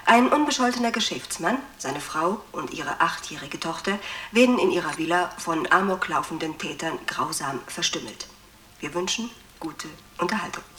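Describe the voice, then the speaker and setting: Formal tv announcer lady, low-ish voice, echoey and away from the mic. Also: expressive, normal speed, a bit bright. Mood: formal, courteous. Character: courteous, composed female television evening announcer. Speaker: television continuity announcer. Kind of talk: formal scripted programme announcement. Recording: roomy, distant, faint noise.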